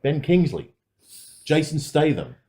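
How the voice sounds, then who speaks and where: A man's voice: a little high, very animated, unhurried, warm tone. A man co-hosting a podcast, chatting casually about films with a sardonic, sweary manner. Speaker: podcast co-host. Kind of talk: casual film discussion, sweary.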